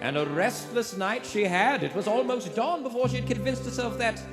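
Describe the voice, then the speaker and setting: An actor playing the suspenseful narrator of a vintage thriller, telling it theatrically in a live radio play. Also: male voice, very high voice, normal speed. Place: theatre stage before a live audience. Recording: echoey theatre with live music behind. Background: musical accompaniment.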